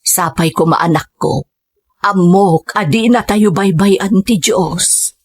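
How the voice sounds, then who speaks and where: A woman plays a middle-aged mother in an audio drama. She sounds tender and worried, speaking to her child with anxious love, at an average female pitch. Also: slow pace, breathy edge. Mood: grateful, tender.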